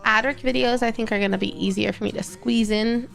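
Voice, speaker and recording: A woman's voice: a little high, fairly even intonation, fairly quick, vocal fry, a bit bright, soft background music. A young woman streamer from Texas, sounding congested and nasal, rambling casually to her live chat and correcting herself as she goes. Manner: rambling, self-correcting, chatty.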